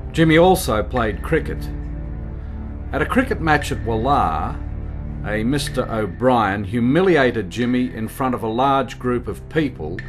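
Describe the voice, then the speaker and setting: A man narrating a scripted documentary radio play. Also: fairly low pitch. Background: acoustic guitar music.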